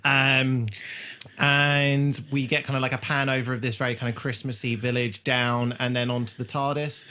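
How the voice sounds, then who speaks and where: A man with a mid-pitched voice co-hosts a podcast. He talks casually and informally, as if chatting through a show with a friend. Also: flat delivery, normal speed, sharp tone, smooth. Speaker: podcast co-host. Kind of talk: casual rewatch podcast chat.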